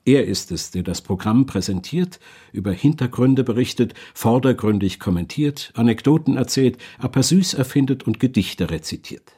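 A man's voice: mid-range voice, animated, moderate pace, dark tone, slightly rough. A man delivering scripted narration as a documentary narrator.

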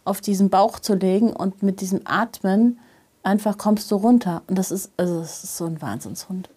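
Animated woman chatting as interview guest. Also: mid-range voice, normal speed, slightly rough. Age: middle-aged. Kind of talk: casual interview chat, personal anecdotes.

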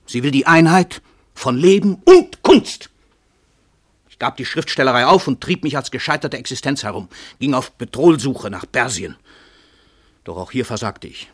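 Radio play casting: male actor for a cynical, domineering celebrity writer-killer, emphatic orator, slightly rough tone, close dry sound. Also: medium pitch, dry recording, lightly acted. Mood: scornful, confessional. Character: world-famous male crime writer, arrogant, cynical confessed murderer. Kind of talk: theatrical German radio drama monologue.

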